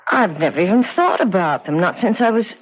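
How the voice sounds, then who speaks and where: A woman's voice: medium pitch, animated, a little fast, natural voice. An American actress playing an anxious but determined young woman in a 1970s radio drama, earnest and emotive. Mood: nervous recollection. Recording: muffled 1970s radio recording.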